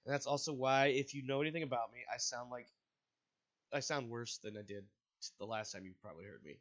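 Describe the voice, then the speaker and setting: Game master, man, congested, rambling host, forceful tone, lo-fi chat sound. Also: mid-range voice, fairly quick. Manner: rambling, casual, with frequent restarts. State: stuffy and congested. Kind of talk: hosting and narrating a tabletop game. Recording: dull, lo-fi voice chat audio.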